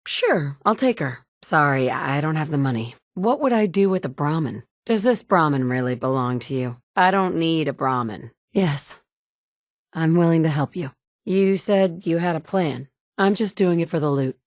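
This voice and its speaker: A woman's voice: low voice, lively intonation, normal speed, dry recording, clean studio sound. A woman voicing a determined young mother who searches a ruined world for her kidnapped son, blunt and dryly sarcastic, recorded as video game dialogue. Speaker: player character voice actress. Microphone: studio mic.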